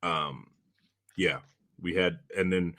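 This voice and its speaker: A man chatting casually about directing, speaking in a halting, rambling way and often repeating himself. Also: sits low, fairly even intonation, bright, forceful tone, no echo. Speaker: director and podcast host. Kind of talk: casual behind-the-scenes chat.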